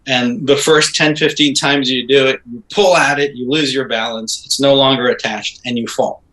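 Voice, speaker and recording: A middle-aged man from Israel, a composer and guest, chatting casually in an interview and telling animated stories with fillers. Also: mid-range voice, normal speed, low-quality recording. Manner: animated storytelling with laughs and fillers.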